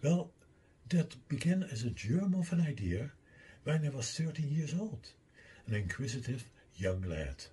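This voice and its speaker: An older man with a Dutch accent, a native Dutch speaker, giving a deliberate slide lecture and explaining his own theory step by step. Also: medium pitch, very animated, warm tone, slight background noise.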